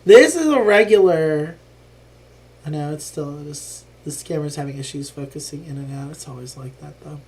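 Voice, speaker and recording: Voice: medium pitch, moderate pace, a little mellow, rough recording. A streamer casually talking through a live board game playthrough, thinking aloud with frequent ums and a lingering cough after being ill.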